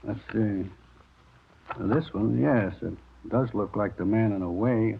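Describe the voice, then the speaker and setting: An actor playing a polite, anxious American delicatessen owner in a 1950s radio drama, hesitant and deferential, with a husky voice. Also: male voice, fairly low pitch, slow pace, heavily acted. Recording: thin, phone-like vintage radio recording.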